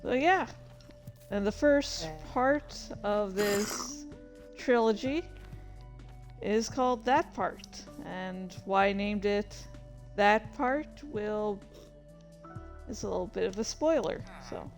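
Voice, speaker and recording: A woman streamer chatting casually about her own writing in a halting, rambling, self-deprecating way. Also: medium pitch, soft background music. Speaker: streamer and fan fiction author. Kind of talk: casual livestream chat.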